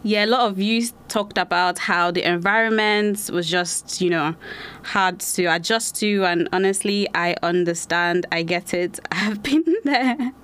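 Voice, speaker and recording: A woman's voice: fairly low pitch, a little slow, fairly bright tone, clean tone. Giggly Nigerian woman podcast host. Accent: Nigerian with a British-leaning hybrid. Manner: chatty, laughs often, repeats herself. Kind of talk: casual solo podcast chat.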